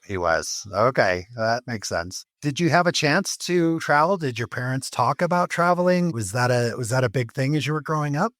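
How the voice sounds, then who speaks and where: A man with a mid-pitched voice hosts an interview. He asks questions in a casual, conversational way, and the sound is dry with little room in it. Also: expressive, moderate pace, hardly any pauses, a bit bright, dry recording. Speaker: interview host.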